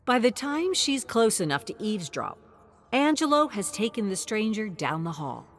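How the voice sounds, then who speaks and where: A woman reading scripted storybook narration in a measured, expressive storytelling manner. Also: mid-range voice. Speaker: narrator of character lore.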